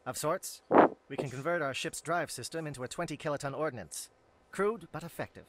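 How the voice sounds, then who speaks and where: An actor playing a precise, formal alien captain in a video game, brisk and clipped. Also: male voice, a little high, fairly bright tone. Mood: businesslike. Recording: noisy game ambience behind.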